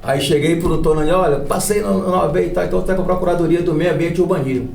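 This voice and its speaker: A man hosts a casual podcast at a quick clip. His voice sits slightly high for a man, and he tells stories in a talkative way. The room adds noticeable echo. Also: creaky, a little mellow. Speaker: podcast host and lawyer. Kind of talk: casual podcast conversation with anecdotes. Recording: roomy echo, faint music.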